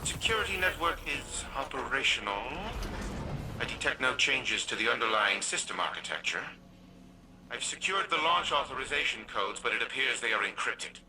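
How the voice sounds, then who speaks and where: A man's voice: medium pitch, sing-song, moderate pace, background noise, lightly acted. A man playing a haughty elderly scientist patriarch in a video game, speaking formally and with precise, commanding diction. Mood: businesslike. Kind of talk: scripted game dialogue.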